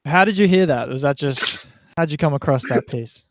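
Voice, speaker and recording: Male podcast host, mid-range pitch, casual conversation, very little room sound. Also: lively intonation, normal speed, no room sound.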